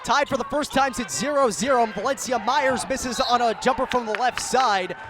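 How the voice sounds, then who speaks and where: High-voiced American play-by-play man. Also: normal speed, a crowd in the background, noisy background. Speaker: play-by-play commentator. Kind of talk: live radio sports commentary. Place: live at a sports venue.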